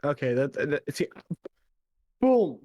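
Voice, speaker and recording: A man's voice: a little high, very animated, takes it slowly, a little mellow, no echo, clean studio sound. A man with a Chicago accent co-hosting a casual podcast, playful and laughing often. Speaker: podcast co-host. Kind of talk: casual chat.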